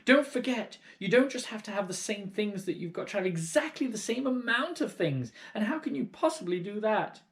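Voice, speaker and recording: A fretful storybook mother doubts everything and nags, as a man voices her. Her voice sits well above his own and bounces with lively rises and falls. She sounds fussy and put-upon. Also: high voice, moderate pace, hardly any pauses, voice pushed up. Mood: nagging. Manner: nagging, fussy.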